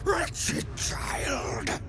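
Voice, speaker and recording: An actor playing an imperious, enraged male vampire lord in a video game cutscene, haughty and outraged. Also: extremely slow. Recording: music behind the voice.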